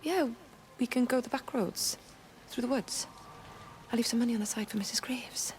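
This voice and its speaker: A woman's voice: a little high, normal speed, darkish tone, a little hiss or hum, lightly acted. An actress playing an anxious, practical young mother in a naturalistic video game drama. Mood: anxious, urgent.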